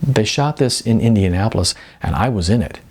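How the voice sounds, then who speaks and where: A man's voice: on the low side, animated, a little fast, darkish tone, husky. A man voicing an eager, unsure young husband who stammers in an audiobook. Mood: hesitant. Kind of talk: audiobook character voice.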